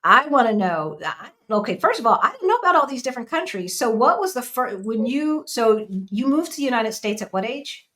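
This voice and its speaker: A woman's voice: fairly high pitch, big pitch swings, fairly quick, a bit bright. Upbeat, chatty woman podcast co-host. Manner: upbeat, chatty, playful asides. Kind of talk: casual interview chat.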